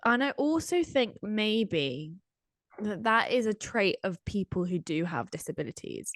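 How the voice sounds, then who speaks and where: A woman with a croaky voice, hosting a podcast interview and asking earnest, drawn-out questions. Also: medium pitch, sing-song, no echo. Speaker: podcast host.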